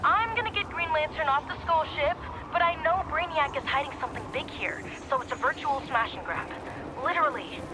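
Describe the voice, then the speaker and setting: A woman's voice: high-pitched, expressive, fairly quick, background noise. An actress playing a brash, upbeat teenage girl vigilante and hacker in a video game cutscene, with a youthful voice and casual, slangy delivery. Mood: breezy, confident. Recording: loud music behind the voice.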